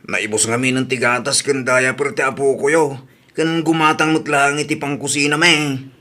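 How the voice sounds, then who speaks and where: A man's voice: medium pitch, steady tone, moderate pace, pushed and bright, lightly acted. A man with an Ilocano Filipino accent playing a casual, insistent young family man in a radio drama, conversational and emphatic. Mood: casual, respectful.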